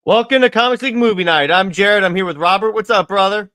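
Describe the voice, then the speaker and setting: Excitable man with a bright, higher voice. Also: quick pace, smooth. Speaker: podcast host. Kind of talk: casual movie discussion.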